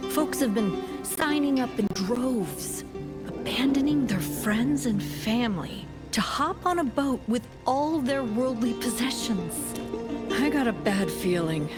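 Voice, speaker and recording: A woman's voice: mid-range voice, close to an everyday voice. An actress playing a friendly, folksy townswoman in a video game, speaking informally. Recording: loud music behind.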